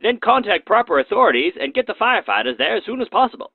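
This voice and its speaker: A man presents with a high, wildly animated delivery and a mock-serious comic edge. His voice has some rasp, and the recording is dry with a thin, phone-like band. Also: fast talker, no echo. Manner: tongue-in-cheek, mock-serious. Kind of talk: comedic scripted narration for a safety film. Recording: muffled, phone-like narrow band.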